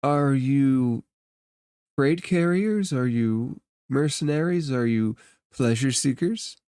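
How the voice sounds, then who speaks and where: A man voicing a courteous, enigmatic stranger in a tabletop space fantasy game, formal, unhurried and ingratiating. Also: mid-range voice, animated, darkish tone, smooth, dry recording, studio quality. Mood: curious.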